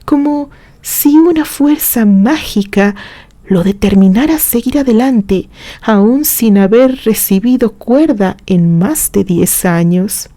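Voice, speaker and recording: A woman narrates a romantic literary tale at an unhurried, slow pace. Her tone is dark and mellow, with a middling pitch. The recording is very dry, with hardly any room sound. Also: no echo. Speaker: story narrator.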